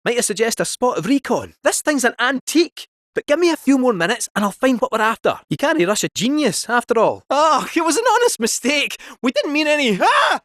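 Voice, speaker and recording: A man's voice: big pitch swings, fairly quick, few pauses, fairly bright tone, dry recording, studio quality, heavily acted. A man voicing a chatty, scheming male robot cat in a game, with a Scottish accent and a jaunty, theatrical delivery. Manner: jaunty, theatrical, swooping pitch. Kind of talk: video game voice acting.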